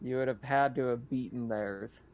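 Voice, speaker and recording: A man running a tabletop roleplaying game as game master, narrating casually in halting, improvised phrases. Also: mid-range voice, a little mellow, lo-fi sound.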